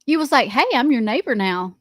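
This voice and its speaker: A woman's voice: on the high side, lively intonation, a little fast, dry recording. A woman in her thirties co-hosting a morning radio show, telling stories with lots of laughs. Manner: chatty, giggly storytelling. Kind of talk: casual radio banter. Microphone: studio microphone.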